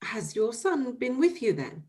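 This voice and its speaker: A woman reading an audiobook, voicing a clever, lively young gentlewoman who speaks in an earnest, pressing way. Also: on the high side, measured pace, slightly rough, heavily acted. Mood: curious.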